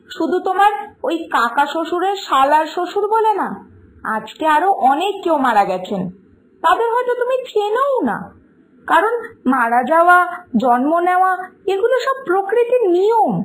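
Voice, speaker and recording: Woman as an earnest young daughter, very high lively voice. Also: poor audio. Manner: lecturing, earnest. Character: sensible, outspoken young daughter arguing patiently against superstition. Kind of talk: Bengali moral-story cartoon dialogue. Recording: music behind the voice.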